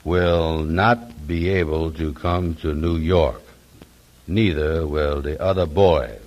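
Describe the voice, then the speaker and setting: An actor playing a slow-talking folksy man with nonstandard dialect grammar in 1940s radio drama style. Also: extremely low pitch, a little mellow, muffled recording, heavily acted. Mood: sad, proud. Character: Andy, sad but proud slow-talking man.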